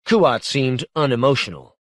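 A man narrating literary science fiction as an audiobook, measured and articulate in his reading. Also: mid-range voice, lively intonation, dry recording, studio quality.

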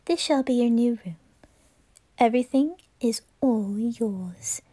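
A woman's voice: a little high, slow pace, warm tone. A woman voicing a haughty, bratty teenage aristocrat boy in an anime ASMR roleplay, imperious and mocking. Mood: coolly hospitable.

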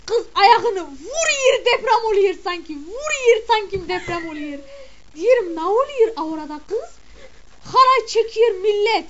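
A woman playing a shrill gossiping village mother. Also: slight background noise. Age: middle-aged. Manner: chatty, exclamatory, scolding. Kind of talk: comic character monologue.

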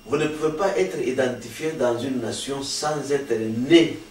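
Un pasteur d'âge mûr, originaire du Congo, qui explique la doctrine avec insistance et sérieux. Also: male voice, mid-range voice, measured pace. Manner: earnest, explanatory, emphatic. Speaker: pastor, guest. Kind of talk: sermon-like interview answers. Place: small studio with patterned wallpaper. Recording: roomy, distant, faint hiss.

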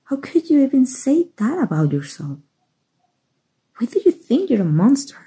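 A woman acting a kind, earnest human rescuer in a soft ASMR roleplay, in a gentle, reassuring manner. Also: sits high, big pitch swings, normal speed, heavily acted. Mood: earnest, upset. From Mexico. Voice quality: soft, breathy, husky.

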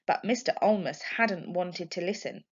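A woman's voice: lowish voice, moderate pace, husky. A woman reading a children's story aloud expressively, like a teacher to a class. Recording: dull lo-fi home recording.

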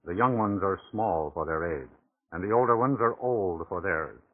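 A man narrating a 1940s radio documentary from a script in a measured, literary storytelling manner. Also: deep voice. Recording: muffled phone-like vintage 1940s radio recording.

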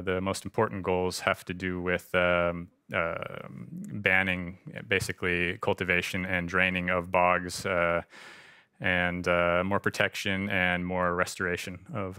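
Low-voiced, halting American man presenting. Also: sharp tone, clear, resonant tone, no room sound, studio quality. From United States. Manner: halting, with frequent hesitations. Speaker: freshwater conservation coordinator presenting. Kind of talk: conference presentation with slides. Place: indoor room at a visitor centre.

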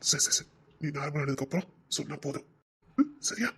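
A performer playing a possessive but tender young man in an audio romance drama, speaking softly and coaxingly. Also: mid-range voice, expressive, a little fast, husky, exaggerated voice. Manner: soft, hushed, coaxing.

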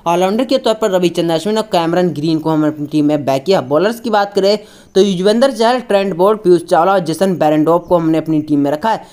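Fast-talking woman presenting a cricket preview. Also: fairly low pitch, darkish tone. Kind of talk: scripted sports preview narration for online video.

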